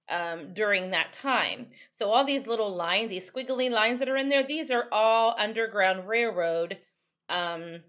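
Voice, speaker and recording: A woman's voice: mid-range voice, a bit bright, dull audio. An American woman giving an informal read-aloud lesson as a teacher, explaining as she goes and often correcting herself, recorded on a computer microphone. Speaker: teacher reading a storybook aloud to students.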